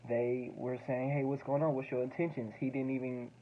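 A man's voice: mid-range voice, little pitch movement, a little fast. A young man in his twenties talks casually and slangily, circling back on his points as he explains. The sound is dull and lo-fi, recorded on a phone. Light hiss runs underneath. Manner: casual slangy, repeats himself. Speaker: student pilot explaining recordings. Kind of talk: casual explainer over playback.

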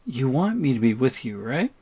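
A man playing an exasperated but protective captive big brother in an audio roleplay, reasoning and pleading in measured tones. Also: medium pitch, very animated, mellow, slightly rough. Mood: placating.

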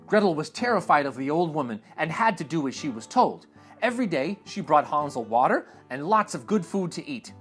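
A young man reading a storybook aloud to children in an animated, expressive way, recorded in a living room. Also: fairly high pitch, a little fast, soft background music. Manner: animated and expressive, dramatic swings in tone. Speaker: read-aloud host. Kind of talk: storybook read-aloud narration. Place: indoor living room with sofa.

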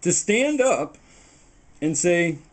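A man's voice: sits high, slow pace, a little hiss or hum. A middle-aged man hosting a home cooking video, giving a rambling, preachy monologue while baking in a small kitchen, picked up by a camera mic a few feet away. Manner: preachy, animated, talks while working with his hands. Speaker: home cooking show host. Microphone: camera mic on the table a few feet away.

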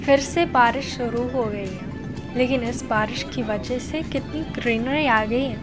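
A woman's voice: on the high side, unhurried. A young woman giving upbeat, informative voice-over for a travel vlog. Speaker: travel vlogger. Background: music.

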